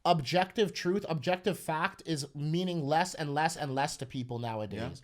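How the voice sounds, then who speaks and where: A man with a somewhat raised pitch talks through a casual podcast discussion. He is earnest and articulate. He piles clause on clause as he builds each point. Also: normal speed. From Caribbean family background. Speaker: podcast co-host.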